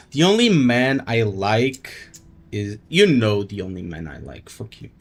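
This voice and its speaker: A man's voice: lowish voice, very animated, takes it slowly, vocal fry. A man doing casual livestream commentary on a game, with a crude, slangy, joking manner.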